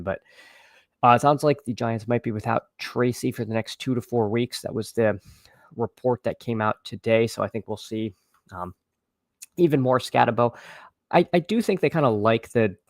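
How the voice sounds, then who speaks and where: A man with a slightly froggy, hoarse voice chatting casually as a fantasy football livestream host, haltingly repeating phrases as he thinks aloud. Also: medium pitch, dry recording, clean studio sound. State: slightly hoarse, froggy after losing his voice at a game. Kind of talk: casual livestream chat and sports commentary.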